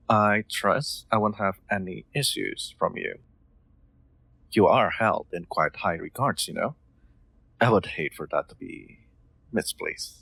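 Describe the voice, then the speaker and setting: Deep-voiced, hesitant, courteous gentleman lord, acted by a man. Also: gravelly, husky, dry recording, heavily acted. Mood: quietly firm. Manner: hesitant, polite, formal wording with stammered ums. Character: gentle, awkward young lord, courteous automaton owner. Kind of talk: scripted audio roleplay, intimate one-sided dialogue.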